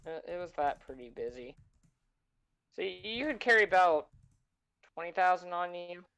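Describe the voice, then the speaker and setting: A man's voice: high voice, animated, moderate pace, a bit bright, clear, resonant tone, lo-fi sound. A man running a tabletop game as game master, stammering and restarting as he works out numbers.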